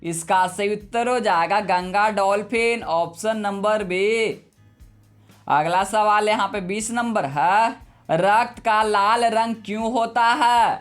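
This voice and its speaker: Slow, methodical quiz teacher over music. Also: medium pitch. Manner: methodical, repetitive question-and-answer delivery. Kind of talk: scripted exam quiz narration. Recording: music bed behind the voice. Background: background music.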